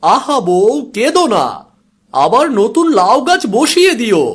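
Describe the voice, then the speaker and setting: A man playing an obedient young village husband in a cartoon, with a comforting, soft-hearted manner. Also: very high voice, sing-song, measured pace, breathy edge, full character voice. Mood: consoling. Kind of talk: animated moral story acting.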